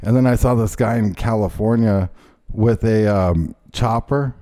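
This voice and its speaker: A low-voiced man hosting a podcast, with a mellow, unforced tone. He rambles through casual stories, stopping and restarting with lots of ums. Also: a little slow. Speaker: podcast host. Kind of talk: casual chat and ad read.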